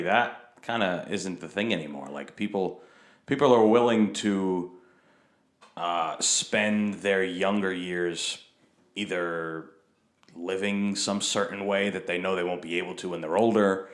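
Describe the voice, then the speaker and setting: A young man hosting a casual podcast in a very deep voice. He speaks bluntly and opinionatedly, swearing freely, in a room with a noticeable echo. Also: fairly bright tone. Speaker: podcast host. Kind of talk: casual chat. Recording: echoey room.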